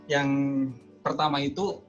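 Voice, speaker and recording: A man hosting a casual vlog and online interview, friendly and chatty, often pausing with hesitations. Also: on the high side, fairly even intonation, fairly bright tone. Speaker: host. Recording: dull lo-fi sound with music behind.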